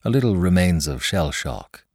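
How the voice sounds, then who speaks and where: A reserved young English gentleman in an audiobook, voiced by its male narrator. He speaks low and slowly, with quiet courtesy. The recording is dry and close. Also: sing-song, slightly rough, no echo, heavily acted. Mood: courteous. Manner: courteous, restrained.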